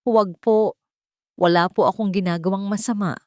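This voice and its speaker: A woman's voice: medium pitch, fairly even intonation, normal speed, darkish tone, no echo, studio quality, close to an everyday voice. A Filipino woman voicing a frightened, polite young rich lady who pleads shakily in a narrated romance. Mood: trembling fear. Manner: trembling, pleading.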